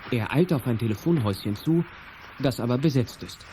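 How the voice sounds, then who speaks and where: A man's voice: mid-range voice, animated, a little fast, mellow, slight background noise. A man reading scripted narration for an audio drama.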